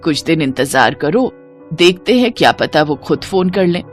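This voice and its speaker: A woman playing an older, caring mother-in-law in a cartoon family drama, advising in a measured manner. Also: low voice, lively intonation, faint music behind. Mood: troubled, suspicious.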